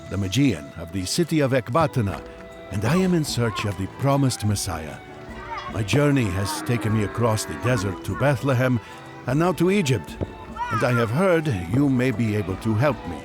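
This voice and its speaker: A man's voice: mid-range voice, big pitch swings, over music, lightly acted. A man playing a devout, weary Magian pilgrim in an audio drama, speaking formally and earnestly. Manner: formal, solemn, measured.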